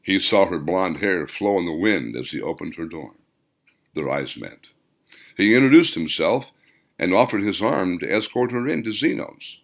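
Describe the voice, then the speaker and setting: A man's voice: deep voice, animated, moderate pace, creaky. A man reading a scripted story aloud as the author and narrator of an audiobook.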